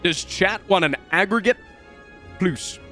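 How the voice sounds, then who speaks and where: A man's voice: high voice, a little slow, soft background music. A young man with a nasal voice, swearing and joking through live gameplay commentary on stream from a room at home. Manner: profane, excitable, jokey.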